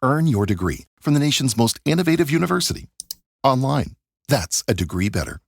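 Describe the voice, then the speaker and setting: Commercial voice-over: woman, raspy with vocal fry, polished promo script, dry booth. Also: a bass voice, big pitch swings, normal speed, no echo. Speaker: advertisement announcer.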